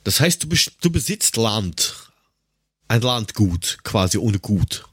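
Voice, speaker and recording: Husky man, lively casual podcast talk. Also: fairly low pitch, slow pace, no room sound, studio quality. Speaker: podcast co-host. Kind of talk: casual comedic chat.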